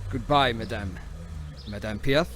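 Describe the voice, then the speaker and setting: A man's voice: lowish voice, measured pace, fairly bright tone, slight background noise. A man playing a formal, wary lieutenant in an audio drama, clipped and courteous. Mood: reserved. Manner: clipped, formal, deferential until barking orders. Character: stern, formal German army lieutenant, courteous but wary.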